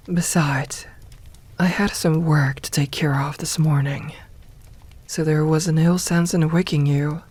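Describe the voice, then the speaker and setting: A woman playing a doting, teasing evil lamia queen in an ASMR roleplay, soft-spoken and unhurried, with formal phrasing and near-whispered murmurs. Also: deep voice. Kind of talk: ASMR roleplay, intimate personal attention.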